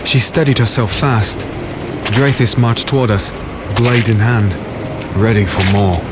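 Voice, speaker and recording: An actor playing a defiant, battle-worn swordsman who narrates and speaks in a dark fantasy audio drama, gritty and earnest. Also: fairly low pitch, unhurried, natural voice. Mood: grim narrating. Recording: loud storm noise under voice. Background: rain, thunder and storm ambience.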